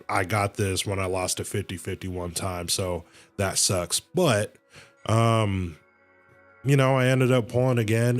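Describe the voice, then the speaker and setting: A man giving casual, rambling livestream commentary on a game, often repeating himself. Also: on the low side. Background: faint game music.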